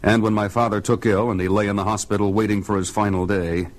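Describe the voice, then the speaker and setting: A man's voice: lowish voice, flat delivery, quick pace, natural voice. An actor playing a modest, earnest, fatherly superhero, narrating in the first person in an audio comic. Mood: reflective. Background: music.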